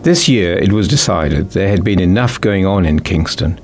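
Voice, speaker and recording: A man's voice: sits low, animated, normal speed, soft background music. A man with a New Zealand accent reading a children's story as scripted narration. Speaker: author reading own book.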